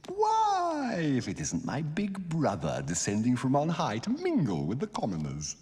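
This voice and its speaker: A man playing a jealous, scheming lion in an animated film, with a languid, mocking, theatrical drawl. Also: fairly high pitch, measured pace. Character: jealous, sardonic villainous male lion, scheming royal brother. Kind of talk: animated film villain acting. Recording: long reverb, music behind.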